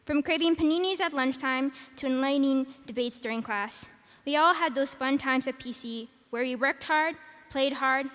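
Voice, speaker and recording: Soft-spoken teenage girl in an echoing arena. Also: sits high. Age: teens. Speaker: salutatorian giving graduation speech. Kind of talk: prepared commencement speech. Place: large arena. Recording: arena with long reverb.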